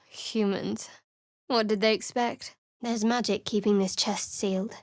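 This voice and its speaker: A dry, sardonic dark cleric. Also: female voice, medium pitch, steady tone, slow pace, no room sound. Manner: dry, wry and cool, with sly sarcasm. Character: guarded, sardonic young woman cleric of a dark goddess. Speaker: party companion in a fantasy video game. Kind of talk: recorded game voice lines. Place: studio booth. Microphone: close studio mic.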